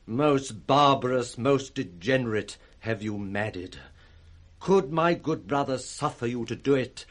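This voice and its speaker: An actor playing a grave, morally outraged noble husband with a British accent, declaiming in 1960s radio Shakespeare style. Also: male voice, mid-range voice, lively intonation, unhurried, lightly acted. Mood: grave reproach.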